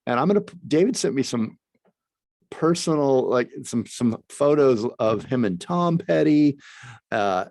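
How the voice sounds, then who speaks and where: A man's voice: mid-range voice, gravelly, darkish tone, no room sound. A male talk show host, chatting in an enthusiastic, rambling way with plenty of restarts. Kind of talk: casual chat.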